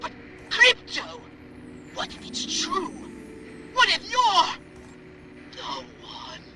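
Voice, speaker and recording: High-pitched, pompous alien schemer. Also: male voice, huge pitch swings, extremely slow, music in the background, exaggerated voice. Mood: fervent. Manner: grandiose, theatrical, verbose. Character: pompous, excitable male alien commander and scholar. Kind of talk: video game cutscene acting.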